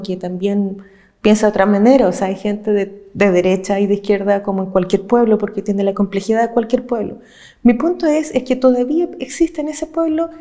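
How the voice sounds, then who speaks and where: A woman from Chile, an activist speaking earnestly and with passion, laying out her points in measured, listed phrases. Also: fairly high pitch, dark tone. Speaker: social movement activist. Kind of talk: reflective interview talk.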